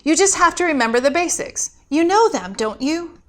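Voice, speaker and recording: Woman voicing high, emphatic mentor angel Gabriel. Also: expressive, normal speed, a bit bright. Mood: coaching. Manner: emphatic, coaching. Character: senior angel Gabriel, firm encouraging mentor.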